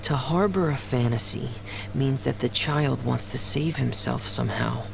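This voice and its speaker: A man acting a video game role as a shy, melancholy young man, soft-spoken, hesitant and earnest. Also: medium pitch, moderate pace, gravelly, background noise. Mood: wistful, earnest. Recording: music under the voice.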